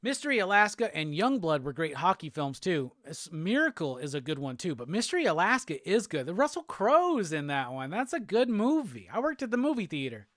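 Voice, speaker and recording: A man from Alabama with a Southern accent, hosting a casual live show and answering chat comments in a relaxed, rambling way. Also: high-pitched, a little fast, few pauses, no room sound, clean studio sound. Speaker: host.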